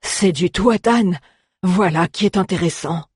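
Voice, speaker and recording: A wise old male druid voiced by a storybook reader, thoughtful and measured. The voice is slightly husky and dry, weighing each word with scholarly care. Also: mid-range voice, no room sound. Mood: intrigued.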